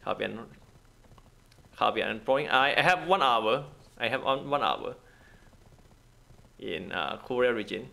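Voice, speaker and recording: A man's voice: medium pitch, animated, unhurried, vocal fry, long pauses, bright, forceful tone. A man giving casual gameplay commentary in non-native, broken English, thinking aloud in short, repeated phrases. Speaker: gaming video host.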